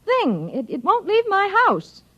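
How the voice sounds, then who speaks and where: A prim, easily flustered wife in a vintage radio play. Her voice is high and swoops with expression, proper and skeptical. The recording is dull and old. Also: wildly swooping pitch, a little slow, pushed and bright, full character voice. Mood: indignant. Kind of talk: 1950s radio drama acting.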